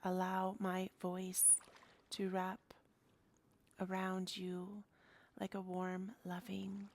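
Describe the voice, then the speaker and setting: Very slow, soothing woman guiding meditation. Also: medium pitch, monotone. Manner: soothing, hushed and breathy. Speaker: yoga nidra guide. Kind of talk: guided meditation.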